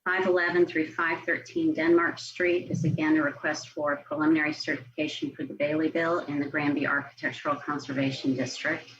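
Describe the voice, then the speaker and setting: A woman with an American accent and a slightly low voice reads out procedural items as a municipal staff member. Her delivery is formal and official. The online meeting audio is a little muffled, with faint noise behind her. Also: little pitch movement, brisk, few pauses, a bit bright. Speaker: city staff member at a public commission meeting.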